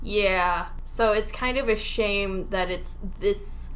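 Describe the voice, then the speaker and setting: A woman's voice: medium pitch, takes it slowly, a bit bright, a little hiss or hum, rough recording. A woman co-hosting a podcast in a casual, conversational review of a game. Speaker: podcast co-host.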